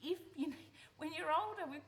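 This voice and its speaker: A woman's voice: very high-pitched, fast, fairly bright tone. A woman playing a guarded, exasperated adult daughter, dry but tender underneath, in radio play dialogue. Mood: reassuring. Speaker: actor in a live radio play. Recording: live recording with clear background noise.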